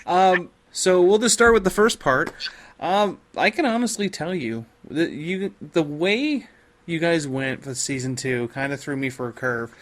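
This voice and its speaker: Man, podcast host, higher pitch, casual interview chat, faint background noise. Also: lively intonation, a little slow, a bit bright.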